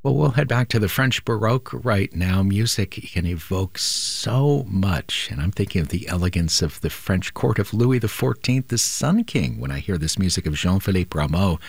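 Gravelly low-voiced classical radio host. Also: male voice, dry recording. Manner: calm, measured, sometimes drops to a near whisper. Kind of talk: pledge drive appeal. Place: radio studio. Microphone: studio microphone.

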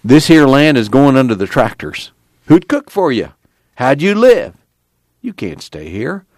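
A man's voice: medium pitch, sing-song, measured pace, darkish tone, dry recording, studio quality, voice pushed up. A man voicing a worried, hard-pressed farm father with urgent, folksy rural speech.

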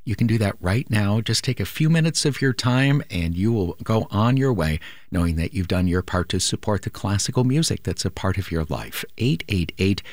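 A man's voice: lowish voice, expressive, moderate pace, no room sound, clean studio sound. A male radio host making an earnest, persuasive appeal during a pledge drive.

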